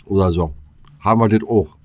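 A man's voice: on the low side, a little fast, no room sound. A man co-hosting a podcast, chipping in with short, casual interjections and banter. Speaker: podcast co-host.

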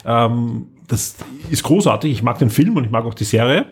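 A man's voice: mid-range voice, lively intonation, normal speed, husky. A man talking casually as a podcast co-host. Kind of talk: casual chat about audio drama series.

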